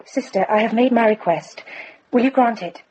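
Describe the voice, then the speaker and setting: A woman acts out a young medieval lady in a radio play, earnest and unbending. Her voice is fairly high and mellow, with faint noise behind it. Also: normal speed, natural voice, poor audio. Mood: firm. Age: young adult. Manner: resolute, intense. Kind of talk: radio drama acting.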